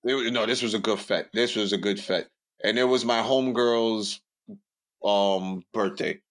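A man's voice: fairly low pitch, normal speed, a bit bright. A young man, telling stories bluntly and building up jokes on a podcast. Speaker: podcast co-host. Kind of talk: casual storytelling chat.

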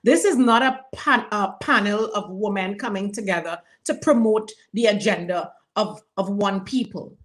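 A woman's voice: medium pitch, normal speed, few pauses. A woman moderating a panel discussion, speaking emphatically and repeating phrases for effect. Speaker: panel host.

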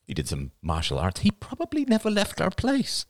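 A man voicing a grieving elderly father in an audiobook, gentle and halting, close to tears. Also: high voice, huge pitch swings, no room sound, voice pushed up. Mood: searching, uneasy. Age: older. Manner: halting, sighing, breaking into sad laughter. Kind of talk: dramatic audiobook dialogue.

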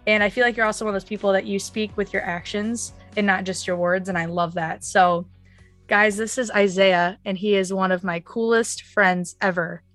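A woman's voice: medium pitch, steady tone, normal speed, no echo. A woman hosts a podcast in an earnest, gushing way, her voice slightly worn from a recent illness. She is recorded close and dry, with faint music underneath. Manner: warm, effusive, earnest. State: recovering from illness, voice off. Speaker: podcast host. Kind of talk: casual podcast chat.

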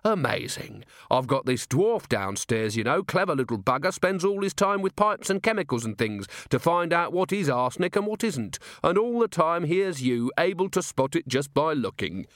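A male narrator acts a police commander in an audiobook, belligerent and sardonic. He mocks with sham politeness, his pitch moving freely in a dry, close recording. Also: very animated, normal speed, few pauses, fairly bright tone, no echo. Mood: mock amazement. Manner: mocking, gruffly sarcastic.

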